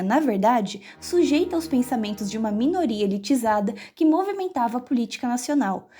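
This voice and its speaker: A woman's voice: fairly high pitch, mellow, breathy edge. A woman narrating an explanatory documentary in a formal, scripted way. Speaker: narrator and street interviewer. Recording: voice-over with music behind.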